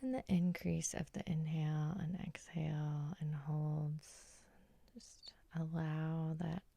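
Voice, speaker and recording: Woman whispering very slowly, breathy and crackly. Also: sits low, level tone, no echo. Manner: gentle, soothing, unhurried. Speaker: hypnotist and host. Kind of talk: whispered ASMR guided sleep hypnosis.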